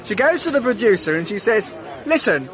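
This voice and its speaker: An actor playing a cheeky, gleeful, joking film crew hand in a radio drama. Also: male voice, sits high, sing-song, brisk, crowd noise.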